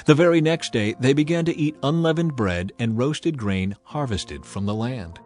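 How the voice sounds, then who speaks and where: A man's voice: medium pitch, lively intonation, normal speed, a little mellow. Man narrating solemn scripture over music. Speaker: narrator of a dramatized audio Bible. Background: background music.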